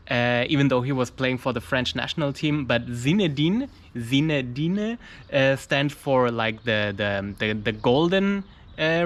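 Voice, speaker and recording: A man's voice: medium pitch, fairly bright tone. A man, telling a travel story in casual English, searching for words with frequent restarts and fillers. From Germany. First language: German. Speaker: mountaineer vlogger.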